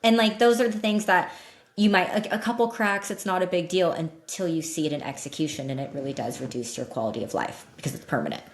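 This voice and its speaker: A woman with a slightly deep voice, speaking as an expert guest in a candid, informal, emphatic way, explaining things in an interview conversation. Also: quick pace. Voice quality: slightly deep voice that cracks when loud. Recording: roomy echo.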